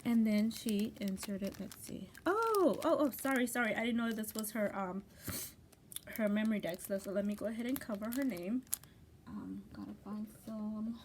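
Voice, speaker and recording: A woman shows off handmade craft tags in a chatty, gushing way. Her voice is wearing thin and hoarse from coughing. She is at a middle pitch, recorded close with a faint hiss underneath. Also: normal speed, darkish tone. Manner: gushing, chatty, restarts often. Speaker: crafting channel host. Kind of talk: casual craft mail unboxing.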